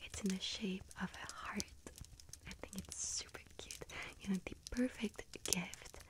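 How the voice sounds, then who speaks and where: A woman playing a sweet-toothed, friendly little witch who tends a bakery, in a whispered ASMR roleplay, speaking gently and savoringly in a breathy whisper with small hesitations. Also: mid-range voice, steady tone, measured pace, natural voice. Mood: gentle, savoring. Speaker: ASMR roleplay performer as bakery shop assistant.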